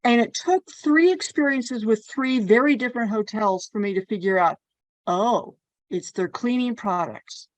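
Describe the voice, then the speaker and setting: A woman's voice: fairly high pitch, moderate pace, dry recording, poor audio. A woman hosting a casual conversation, telling personal anecdotes in a chatty way. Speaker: podcast host.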